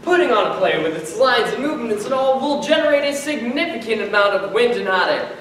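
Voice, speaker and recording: Teen with lively mock-serious delivery. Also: mid-range voice, fairly quick. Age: teens. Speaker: student performer. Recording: echoey room from far off with noise.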